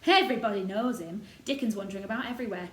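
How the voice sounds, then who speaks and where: A woman's voice: medium pitch, racing, a bit bright, natural voice. A woman voicing a blunt old male gardener with a Yorkshire accent and rustic dialect, for a storybook. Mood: blunt, amused. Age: older. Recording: roomy echo, noticeable background noise.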